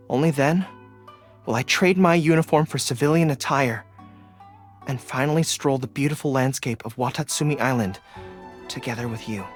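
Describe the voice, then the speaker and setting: An earnest young dog-eared general from a video game, polite and loyal, music playing behind him. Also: male voice, fairly high pitch, a bit bright. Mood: solemn, determined. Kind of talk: video game character voice lines. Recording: studio voice over background music.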